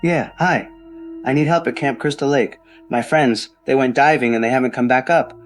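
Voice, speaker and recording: Low-pitched, rattled young man, acted out by a man. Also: faint music behind, close to an everyday voice. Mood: anxious, rattled. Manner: halting, repeating himself. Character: panicked young man phoning for emergency help. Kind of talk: audio drama acting.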